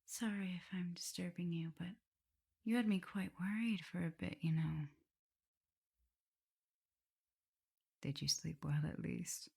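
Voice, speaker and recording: A woman playing an affectionate, teasing vampire mistress with a prim teacherly side, in a soft, intimate, often whispered ASMR roleplay. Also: fairly low pitch, vocal fry, slightly rough. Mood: tender, soft. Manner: tender, playful, hesitant murmuring.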